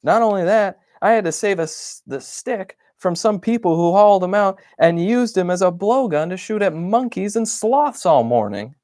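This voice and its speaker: A man's voice: sits high, animated, moderate pace, few pauses, a little mellow, dry recording, clean studio sound, pitched above the performer's own voice. A man doing a grumpy stuffed bunny. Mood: exasperated. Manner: exasperated complaining diary reading. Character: grumpy put-upon stuffed bunny, male.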